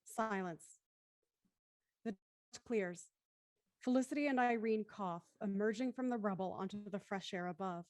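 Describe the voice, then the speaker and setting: A woman's voice: medium pitch, a little slow, pauses often, darkish tone, dry recording, lightly acted. A woman acting as the intent narrator of an audio thriller, reading dramatic scripted narration. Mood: intent storytelling.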